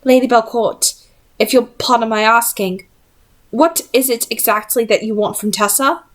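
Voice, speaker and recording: A woman's voice: fairly high pitch, lightly acted. Woman reading as a courteous, thoughtful young man. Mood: polite, direct. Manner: courteous, measured. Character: polite, thoughtful, dryly witty young male demon hunter.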